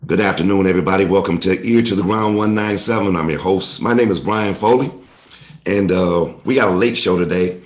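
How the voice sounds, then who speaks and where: A man hosting a casual local talk show, his voice a little raspy and hoarse from hay fever. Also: deep voice, a little fast. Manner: loose, conversational, informal phrasing. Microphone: studio mic.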